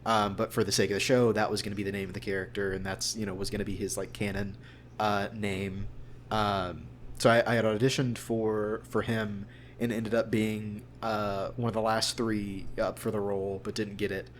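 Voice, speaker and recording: A man's voice: lowish voice, flat delivery, normal speed. A man talking casually and at length in an interview, as a voice actor. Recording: clear background hum and noise.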